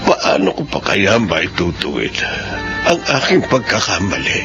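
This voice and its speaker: A man playing a remorseful, sorrowful old grandfather in a radio drama, with a Filipino accent and a gravelly, heavy-hearted delivery. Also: low-pitched, wildly swooping pitch, a little slow, heavily acted. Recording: muffled radio drama, music underneath.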